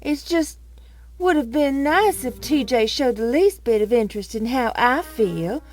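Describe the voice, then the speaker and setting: A woman's voice: a little high, expressive, measured pace, a little mellow, faint music behind. A woman playing a haughty, self-pitying young heiress in an audio soap drama. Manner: petulant, drawn out.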